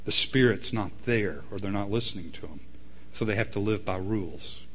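A man preaching a sermon, swinging between loud emphatic peaks and low quiet asides. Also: moderate pace. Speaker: pastor.

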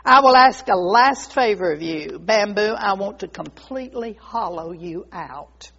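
A storybook master of a garden played by a woman, firm and insistent. He speaks deliberately, asking for obedience. The sound is dull and lo-fi. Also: medium pitch, lively intonation, a bit bright, slightly rough, heavily acted. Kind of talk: storybook character voice. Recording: dull lo-fi recording.

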